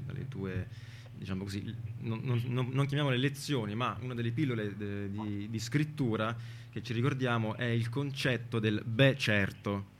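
A man's voice: lowish voice, a little hiss or hum. A man hosting an informal live radio interview, chatting and bantering with his guest. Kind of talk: casual live radio chat.

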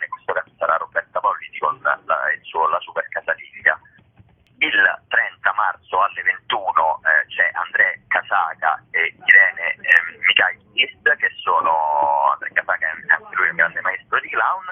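A man's voice: deep voice, slightly rough. A man explaining a programme as an organiser in an informal interview, hesitating and drawing out words. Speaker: theatre festival organiser and presenter. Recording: muffled lo-fi phone-like radio line.

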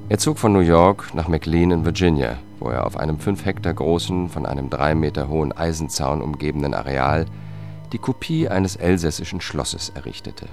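An actor playing a sober, determined justice investigator who narrates a radio drama in a measured, matter-of-fact way. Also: male voice, very deep voice, a little mellow. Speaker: first-person narrator.

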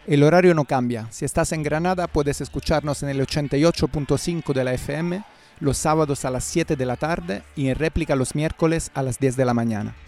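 A man's voice: a little high, a little fast, darkish tone, faint music behind. A middle-aged man presenting a radio programme as its host in a scripted style.

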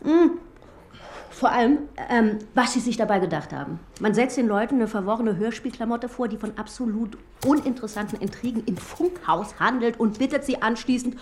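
A woman's voice: a little high, fairly quick, a little mellow, slight background noise, close to an everyday voice. An actress in a radio play as an articulate, critical woman with a dry, conversational delivery. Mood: scornful, amused.

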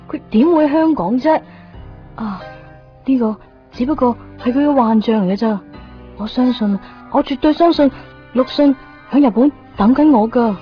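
A woman's voice: sits high, expressive, normal speed. Radio drama female lead: emotional young Hong Kong woman, natural delivery, faint music behind, lo-fi. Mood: insistent, disbelieving. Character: young woman torn between lover and boyfriend. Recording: dull 1990s radio recording, faint music. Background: faint background music.

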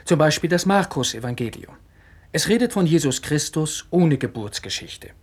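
An actor playing an earnest, critical young son with a husky voice, lecturing insistently and articulately in a radio play. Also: male voice, fairly high pitch, animated, moderate pace. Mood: insistent explaining. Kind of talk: 1960s German radio play acting.

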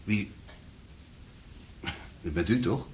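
A man's voice: on the low side, animated, very slow, a little mellow, slight background noise. An actor playing an agitated husband in a Dutch radio play, indignant and questioning, then soothing to his wife. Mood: puzzled, insistent. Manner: questioning, insistent.

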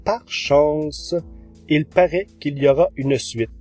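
A man's voice: on the high side, takes it slowly, a little mellow, faint music behind. A man giving an informal, enthusiastic podcast review with hesitant phrasing. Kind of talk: informal spoken review over music.

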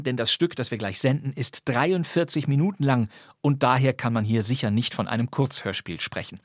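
Husky man presenting in a dry studio. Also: mid-range voice, no room sound. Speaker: podcast host presenting classic radio crime plays. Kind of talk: scripted presenter narration.

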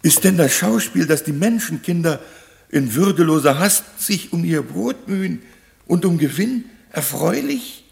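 An idealistic old counsellor in a radio play. His creaky voice is a little high and swings expressively. He speaks very slowly, with halting, earnest rapture. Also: expressive, a little mellow. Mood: dreamily idealistic. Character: kindly, idealistic old male royal counsellor. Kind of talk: German radio play acting.

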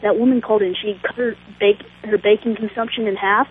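A young man calls in to a radio show as an eager, agreeable fan. His voice is slightly breathy, and it comes through a thin phone line. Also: sits high, steady tone, a little fast. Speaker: caller.

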